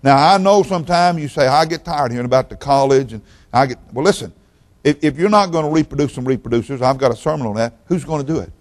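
A man's voice: mid-range voice, fast. An older man preaching as a visiting pastor, folksy and rambling, often repeating his words. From Oklahoma, raised in Texas. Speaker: guest pastor. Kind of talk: sermon.

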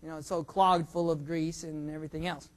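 A high-voiced younger man preaching from the pulpit. He teases himself and the room with food jokes, then leans hard on key words in sudden loud bursts, and slips into a prayer. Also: little pitch movement, fairly quick. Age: under 45. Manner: jokey, self-teasing, surging loud emphasis. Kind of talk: sermon with prayer.

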